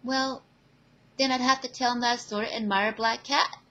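A woman voicing an excitable, confident teenage girl in a fan audio drama, with gushing, emphatic delivery. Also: fairly high pitch, moderate pace, voice pushed up, lightly acted.

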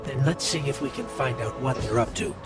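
A man's voice: mid-range voice, brisk, natural voice. A man voicing a small, eager, helpful robot companion in a video game. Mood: concerned. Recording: loud music behind the voice.

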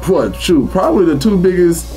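Stammering male host, mellow voice. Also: high-pitched, lively intonation, moderate pace, soft background music, low-quality recording. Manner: hesitant, stammering questions with many fillers. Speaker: interview host. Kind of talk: casual interview. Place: small recording studio room.

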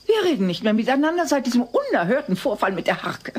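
A woman's voice: on the high side, sing-song, quick pace, darkish tone, breathy edge. A woman playing a bossy, nosy middle-aged neighbour in a German-dubbed sitcom radio play. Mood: pushy, chirpy. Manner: pushy, opinionated, know-it-all. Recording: noisy old cassette copy.

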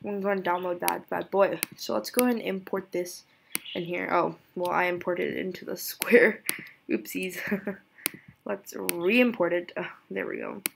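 Chatty giggly young woman, gravelly voice. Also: normal speed, noisy background. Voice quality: voice lower than usual today. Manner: chatty, giggly, self-deprecating, thinks aloud. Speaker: tutorial creator. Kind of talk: casual screen-recorded software tutorial.

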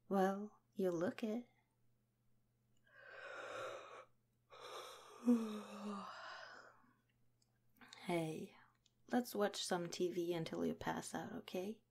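Slow, mellow, affectionate policewoman played by a woman. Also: lowish voice, creaky, exaggerated voice. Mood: caring, soothing. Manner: gentle, hesitant, giggly. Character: affectionate, shy young female cop comforting her partner. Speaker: ASMR roleplay performer. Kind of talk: intimate ASMR roleplay, soft-spoken.

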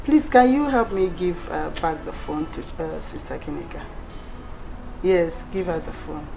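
A woman's voice: deep voice, lively intonation, measured pace, gravelly, background noise, heavily acted. An actress playing an earnest, apologetic young woman in her late twenties in a film drama, somewhat hesitant, with a Nigerian Igbo accent. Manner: hesitant, repeating words. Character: earnest, apologetic young city-working daughter.

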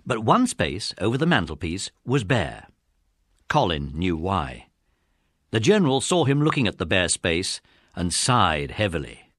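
A man's voice: low voice, very animated, measured pace, a bit bright, no echo, studio quality. A man with an English accent narrating a scripted children's story.

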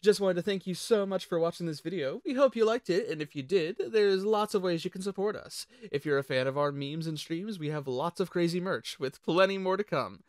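A man's voice: high voice, lively intonation, fairly quick, few pauses, dry recording, studio quality. A man hosting a channel outro in an upbeat, playful way. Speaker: channel host.

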